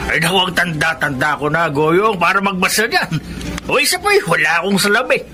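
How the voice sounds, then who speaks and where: Animated, high-voiced old Filipino father. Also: a little fast, over music. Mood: grumbling. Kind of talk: Filipino radio drama acting.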